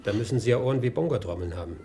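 An actor playing a nosy, dryly needling police inspector in a German radio play. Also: male voice, on the low side, animated, very fast, dark tone, a little hiss or hum. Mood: probing.

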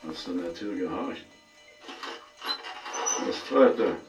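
An actor in a German radio play playing a cool, cynical schemer who talks in a deliberate, conspiratorial way. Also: male voice, low voice, lively intonation, darkish tone, highs cut off. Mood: scheming, guarded. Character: calculating, shady schemer, cool and cynical man. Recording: distant, echoey pickup with music behind.

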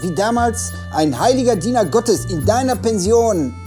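A streetwise male police detective in a radio crime play. He is gruff, wry and guarded, with very animated intonation. Music plays clearly behind him. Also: sits high, moderate pace. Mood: sly, pressuring. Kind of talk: naturalistic German radio drama acting.

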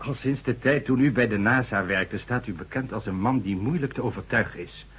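An actor playing a formal, dismissive government minister in a radio drama, with a condescending, authoritative manner. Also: male voice, medium pitch, a little fast, breathy edge, highs cut off. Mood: firm, dismissive. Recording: noisy, humming old radio recording.

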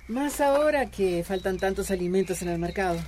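An actress playing a gentle, hesitant village gardener in a radio drama. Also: female voice, mid-range voice, lively intonation, moderate pace, slight background noise, close to an everyday voice. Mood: mild, concerned. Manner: mild, homely, agreeable.

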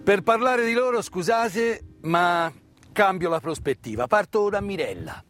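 A man delivering scripted theatrical narration of history as a stage storyteller, in a measured way with deliberate pauses. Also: high voice, lively intonation, fairly bright tone. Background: live instrumental music.

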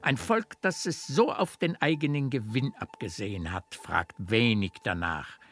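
A man's voice: mid-range voice, animated, measured pace, a bit bright. An actor playing an effusive, opinionated country baron in his fifties in a German radio play, courtly and blustering. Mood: indignant, prejudiced. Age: 50s.

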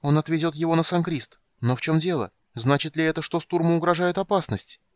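Young elf woman, anxious, urgent, raised pitch, male reader, dry audiobook sound. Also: brisk, dry recording, lightly acted.

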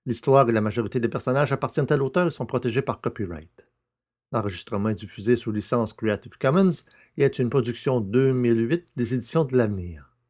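A man's voice: mid-range voice, fairly quick, a little mellow. Male narrator, mid-range voice, scripted reading for a sci-fi audio drama.

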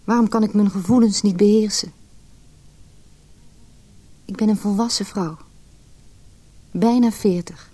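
Husky, mellow wounded woman nearing forty. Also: mid-range voice, unhurried, long pauses, no echo. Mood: pained, reflective. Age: late 30s. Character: vulnerable, self-questioning educated woman nearing forty, narrating her pain. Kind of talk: introspective radio drama monologue.

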